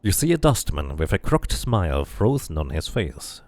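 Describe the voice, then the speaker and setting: A man streaming a game, mixing casual commentary with expressive read-aloud narration. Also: deep voice, normal speed, dry recording.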